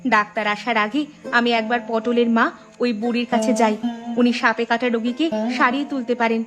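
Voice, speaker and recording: Village woman for a cartoon actress, slightly high voice, anxious and helpful, faint music behind. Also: little pitch movement, a little fast, natural voice. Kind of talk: amateur cartoon voice acting.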